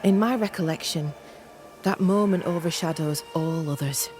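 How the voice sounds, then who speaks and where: A woman's voice: fairly low pitch, moderate pace, music in the background, lightly acted. An actress playing a guarded, reluctant colonial woman in a video game, speaking in a terse, wary manner. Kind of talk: scripted video game cutscene acting.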